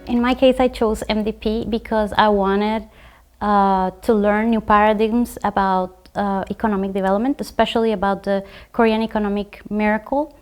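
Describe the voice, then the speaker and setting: A woman from Guatemala, a graduate student, talking about her study program in a measured way, with frequent fillers and restarts. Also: mid-range voice, fairly even intonation, soft background music. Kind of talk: conversational program overview.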